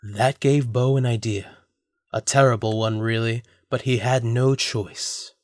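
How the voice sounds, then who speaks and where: A man serves as narrator for a scripted audio drama. His voice sits at a medium pitch, and the sound is dry, with no room echo. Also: steady tone, a little slow, darkish tone.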